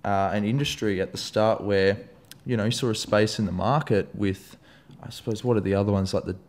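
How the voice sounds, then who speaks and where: A man's voice: fairly low pitch, fairly even intonation, a little fast, darkish tone. Young Australian man, casual and sweary. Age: young adult. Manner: swears often, loose and jokey. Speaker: podcast host. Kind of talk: casual interview chat.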